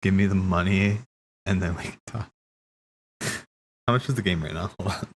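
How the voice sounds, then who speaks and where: A man's voice: deep voice, normal speed. A man chatting casually on a livestream as he watches game cutscenes, rambling and thinking aloud.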